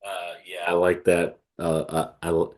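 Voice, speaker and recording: A man with a fairly low voice chats casually as a podcast co-host. He talks in a halting way, often doubling up on words. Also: monotone, a little mellow. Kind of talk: casual chat.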